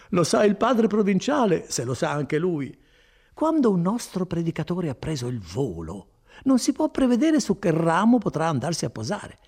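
A man voicing a placid, folksy friar in an audiobook, chattering on with unhurried reassurance. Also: fairly high pitch, gravelly, darkish tone, husky, voice pushed up, full character voice. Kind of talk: dramatised audiobook reading.

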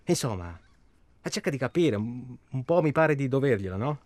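An actor playing a self-absorbed, stalling hunter in a naturalistic radio drama, rambling and irritable. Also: male voice, medium pitch, expressive, moderate pace, a little mellow, no room sound, natural voice. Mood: irritated. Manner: hesitant, rambling, irritable, colloquial. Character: self-absorbed, stalling male tourist hunting human prey.

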